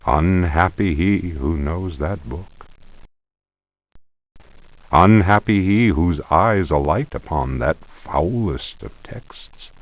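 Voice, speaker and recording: A man's voice: deep voice, lively intonation, slow pace, no echo, exaggerated voice, low-quality recording. A man playing a grave narrator reading an ominous occult manuscript aloud in a solemn, theatrical game performance. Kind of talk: dramatic scripted reading of arcane text.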